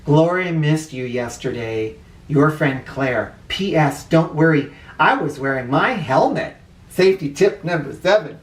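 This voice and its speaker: A friendly, sincere young schoolchild's letter in a picture book, read out by a man. The delivery is simple yet animated, slightly distant in an echoey room. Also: fairly high pitch, a little slow. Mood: reassuring. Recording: roomy echo, distant microphone.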